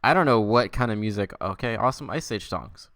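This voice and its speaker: A man with a fairly deep voice hosts a casual livestream. The sound is very dry, with hardly any room in it. He rambles along in a self-amused, loosely profane way, chatting to his viewers. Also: a little fast, fairly bright tone, no room sound. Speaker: livestream host.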